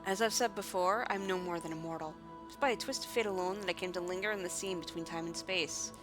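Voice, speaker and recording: A woman's voice: fairly low pitch, fast, over music, lightly acted. A woman voicing a solemn, ancient guardian woman from a fantasy game, telling of old tragedy in formal, grave words. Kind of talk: fantasy game dialogue read aloud.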